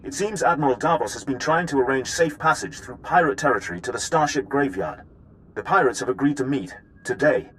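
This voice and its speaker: An actor playing a cautious military intelligence officer in a video game, giving a formal, precise briefing. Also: male voice, mid-range voice, lively intonation, a little fast, a bit bright, a little hiss or hum, close to an everyday voice, low-quality recording. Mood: measured, strategic.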